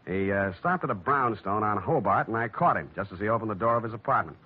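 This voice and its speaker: A man's voice: fairly low pitch, fast, noisy background, close to an everyday voice. Casting: male actor for an unflappable wisecracking detective in 1940s radio noir, dry delivery, lo-fi recording. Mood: wry narration. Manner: deadpan, wisecracking. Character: wry, unflappable hard-boiled male private detective. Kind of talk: 1940s radio acting with first-person narration. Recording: dull vintage radio recording.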